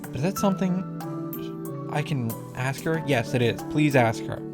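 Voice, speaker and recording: A man's voice: mid-range voice, animated, moderate pace. A man giving blunt, mocking, sweary reaction commentary on a visual novel. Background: game music.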